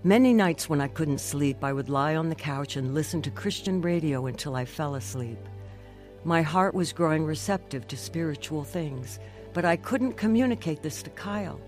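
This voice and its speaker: A woman's voice: low-pitched, expressive, moderate pace, a little mellow, faint music behind. An actress playing an earnest, devout woman who tells her own emotional life story in a radio drama. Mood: earnest, reflective. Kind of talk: first-person narration in a radio drama.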